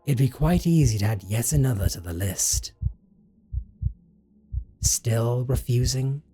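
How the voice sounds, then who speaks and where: A man voicing a slow, menacing, seductive vampire. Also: medium pitch, expressive, dark tone, breathy edge. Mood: mock-tender threat. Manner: theatrical, drawn-out, mock-tender, threatening. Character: possessive, menacing, seductive male vampire interrogating captive. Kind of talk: scripted roleplay audio drama.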